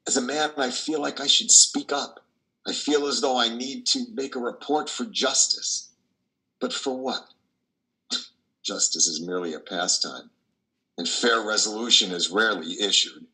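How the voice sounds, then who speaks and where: An older man playing a troubled, tender priest who confesses his doubts haltingly and fervently in a play reading over a video call. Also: fairly low pitch, sharp tone, exaggerated voice, low-quality recording. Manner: halting, fervent, with repeated words. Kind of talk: dramatic monologue in a play reading. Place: wood-panelled room at home, indoors. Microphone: video call, computer microphone.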